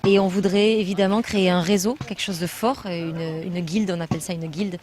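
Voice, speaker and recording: A woman from France, her voice pitched a little low, answers interview questions about building with earth. She explains things earnestly, often pausing and filling gaps with hesitations. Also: fairly even intonation, darkish tone. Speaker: building trainer.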